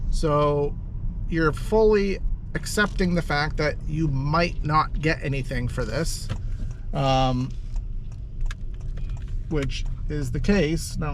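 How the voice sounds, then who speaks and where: A man's voice: fairly high pitch, a bit bright. A man, a delivery driver, chatting casually and jokingly to the camera while he drives. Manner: chatty, upbeat, jokey asides. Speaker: gig delivery driver vlogger. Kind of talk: casual driving vlog chat. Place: inside a car while driving. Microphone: action camera mounted in car. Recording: noisy car interior. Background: car and road noise.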